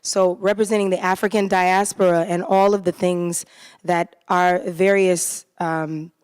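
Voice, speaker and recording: An American woman with a fairly low voice speaks at a post-show panel on a theater stage. She is heartfelt and sincere, and her voice sounds dry and close, with no room echo. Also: flat delivery, measured pace, darkish tone, clear, resonant tone. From United States. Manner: earnest and heartfelt. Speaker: cast member in a post-show talkback.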